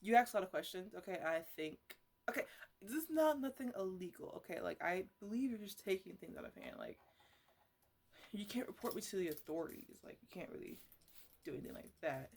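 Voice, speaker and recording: A woman playing an eccentric, defensive botanist in an ASMR roleplay, rambling haltingly and repeating herself as she fusses over her plants. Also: medium pitch, animated, vocal fry. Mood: defensive, coaxing. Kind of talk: ASMR roleplay, casual improvised chatter to plants.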